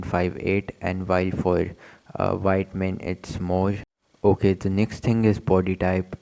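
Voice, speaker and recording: A man's voice: on the low side, warm tone, poor audio. A man with an Indian accent giving blunt, matter-of-fact voice-over commentary. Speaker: video commentator.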